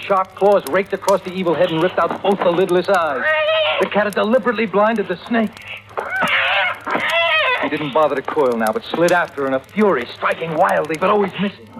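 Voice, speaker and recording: A man's voice: high voice, brisk, heavy background noise. An actor playing a guilt-ridden young seaman narrating his own terror in an intense, haunted old radio drama style. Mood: frantic suspense. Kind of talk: 1940s-50s radio drama acting, first-person suspense narration. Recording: vintage radio recording with loud music.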